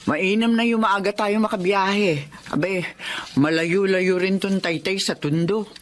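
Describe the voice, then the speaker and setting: A woman's voice: medium pitch, a little slow, a little hiss or hum, close to an everyday voice. A woman playing a loyal, protective aunt in a Filipino melodrama, outspoken and plain-spoken. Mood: warm, reflective. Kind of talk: Filipino melodrama audio acting.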